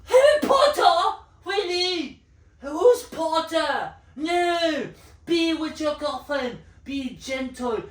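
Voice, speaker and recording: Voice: high voice, lively intonation, takes it slowly. An actor in a comic skit playing a bossy, melodramatic film director with a put-on French accent, scolding and commanding. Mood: exasperated, scolding. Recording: roomy, echoing, distant mic.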